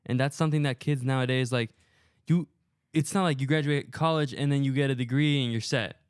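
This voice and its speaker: A man's voice: mid-range voice, fairly even intonation, a little fast, clean tone, dry recording. A young man hosting a casual podcast in a recording studio, talking loosely with lots of fillers and slang into a headset mic. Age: young adult. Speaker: podcast host.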